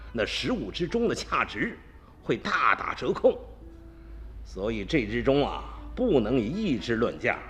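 A cunning tomb-raider chief, voiced by a man, bargaining with easy confidence in a radio play. His speech rises and falls with lively swings, and soft music plays underneath. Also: medium pitch, lo-fi sound. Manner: sly, haggling, confident. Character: scheming male tomb-raider gang boss, middle-aged. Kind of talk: radio drama acting.

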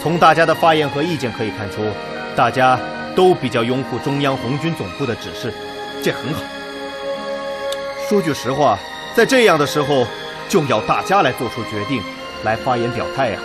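A man's voice: medium pitch, natural voice. Guy doing a decisive red army commander in a radio play, rallying speech, lots of swing in the voice, music under it. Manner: measured, rallying speech-making. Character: decisive male Red Army commander chairing a war council. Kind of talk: Chinese radio drama acting.

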